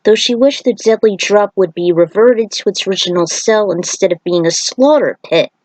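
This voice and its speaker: A woman's voice: mid-range voice, moderate pace, darkish tone, no room sound, poor audio. A woman reading scripted story narration as a storyteller, recorded close as a voice-over.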